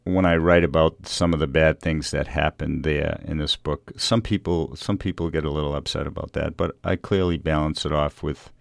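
The American author in a radio studio, middle-aged, reflective and hesitant, rumbling very low and close in a dry room. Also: male voice, fairly even intonation, moderate pace, gravelly, hardly any pauses, no room sound. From Revere, Massachusetts, USA. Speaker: novelist being interviewed. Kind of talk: conversational interview.

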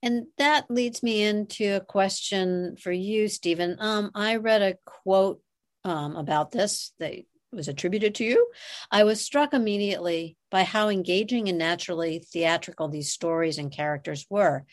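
A woman hosting a conversational interview, asking long, reflective questions in a halting way. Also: medium pitch. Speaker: podcast interviewer.

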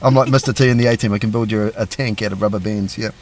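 A man's voice: lowish voice, fairly even intonation, racing, crowd noise. A middle-aged man, a police officer chatting casually and self-deprecatingly as an interview guest.

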